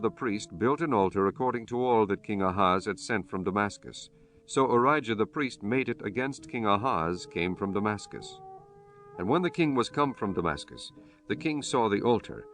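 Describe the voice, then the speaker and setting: A man narrating a dramatized Bible audiobook in a solemn, measured, formal way. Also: on the low side, expressive. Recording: soft music bed under the voice.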